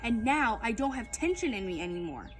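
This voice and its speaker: A woman voicing an excitable little girl in a children's story, emphatic and exclaiming, with childlike grammar. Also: a little high, fairly quick, faint music behind. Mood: thrilled, boastful. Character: excitable little girl telling her own misadventures. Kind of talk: children's audiobook-style read-aloud.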